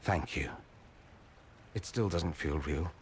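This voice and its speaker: A man's voice: sits low, slow pace, slight background noise. A man playing a witty, theatrical mage ambassador in a video game, arch, eloquent and affectionate. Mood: subdued, grieving. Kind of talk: video game cutscene acting.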